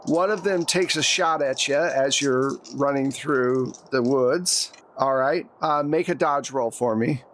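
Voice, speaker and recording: A man's voice: medium pitch, unhurried, hardly any pauses, a bit bright, a little hiss or hum. A man acting as game master, narrating scenes to players in a conversational way and asking them for rolls. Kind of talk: narrating a tabletop roleplaying game.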